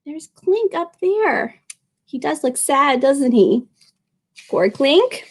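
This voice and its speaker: A woman speaking as a children's librarian at storytime, expressive and addressing young listeners directly. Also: high voice, slow. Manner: animated, expressive, with exclamations and questions to young listeners. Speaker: children's librarian reading aloud. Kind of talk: storytime picture-book reading and friendly address to children.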